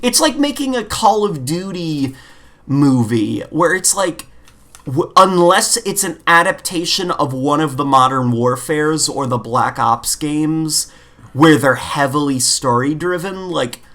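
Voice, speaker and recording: A man's voice: a little high, animated, a little slow, a bit bright. A man co-hosting a podcast in casual gaming chat, talking in an informal, opinionated, rambling way peppered with "like". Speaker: podcast co-host.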